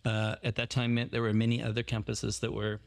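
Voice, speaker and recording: A man's voice: mid-range voice, completely flat delivery, a little fast, vocal fry. A man who plants churches as a missionary, telling his story in a podcast interview with many fillers and restarts.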